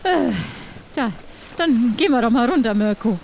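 A woman's voice: fairly high pitch, animated, normal speed, darkish tone, a little hiss or hum. A woman playing a sturdy, wry, bossy adventurer in an improvised pirate audio drama, blunt with some hesitation. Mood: brisk.

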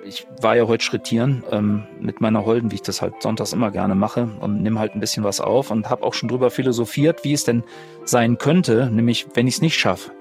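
A man's voice: fairly low pitch, fairly even intonation, a little fast, vocal fry, darkish tone, soft background music. A man chatting casually as a guest player on a role-play podcast. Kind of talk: casual chat.

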